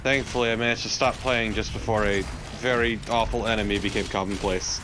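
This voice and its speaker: A man's voice: lowish voice, steady tone, a little fast. A man chatting casually as a co-commentator on gameplay. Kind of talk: casual chat over gameplay. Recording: clearly noisy background.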